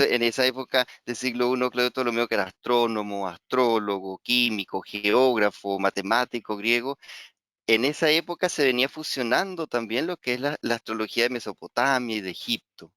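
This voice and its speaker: A middle-aged Venezuelan man lectures in a clear, resonant voice, stopping often to restart words and check in with his listeners. The sound is dry and close, with almost no room around it. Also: medium pitch, fairly bright tone, no room sound. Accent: Venezuelan Spanish. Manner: hesitant, many fillers and repeated words, frequent tag "¿sí?". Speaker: astrology teacher. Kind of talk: webinar lecture.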